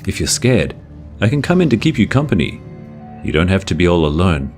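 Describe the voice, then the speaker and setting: A man's voice: deep voice, very animated, fairly quick, a little mellow, soft background music. A man voicing a sinister spirit that poses as a coaxing old grandfather in a horror folktale, sounding insistent. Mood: falsely coaxing.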